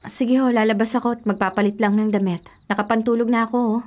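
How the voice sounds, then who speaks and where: A woman's voice: fairly high pitch, steady tone, quick pace, darkish tone, faint music behind, close to an everyday voice. A woman playing an evasive young heiress, a fresh graduate, in a Filipino radio drama, slipping English into her pleading. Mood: weary, firm. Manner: pleading, evasive, Taglish-speaking.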